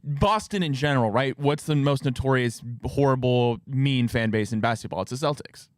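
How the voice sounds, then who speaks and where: A man from Ohio co-hosting a casual sports talk show in a studio, making calm, reasoned counterpoints. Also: medium pitch, normal speed, dry recording. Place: radio studio.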